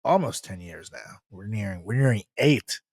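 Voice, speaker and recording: Mężczyzna, żartobliwy współprowadzący podcast, sucho nagrany. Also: male voice, on the low side, animated, moderate pace, a little mellow, husky, no room sound. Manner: joking, laughs often, hypes the show. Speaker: podcast co-host. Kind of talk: casual chat.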